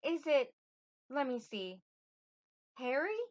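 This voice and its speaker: A woman voicing a feminine young storybook queen, asking coyly and hesitantly in a soft, girlish manner. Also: high-pitched, lively intonation, takes it slowly, voice pushed up. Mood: coy, playful. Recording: roomy, dull lo-fi home recording.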